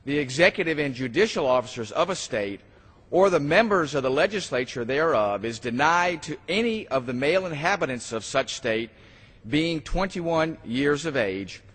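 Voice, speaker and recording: A man with a somewhat high, husky voice reads a legal text aloud in formal fashion. His words ring out with long reverb in a big chamber. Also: a bit bright. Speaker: member of Congress. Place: large indoor legislative chamber. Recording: reverberant large legislative chamber.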